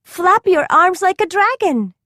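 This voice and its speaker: A woman voicing an excited, inventive young girl in a children's storybook, eager and cheerful. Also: very high-pitched, a little slow, no room sound, studio quality, pitched above the performer's own voice, exaggerated voice. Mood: encouraging.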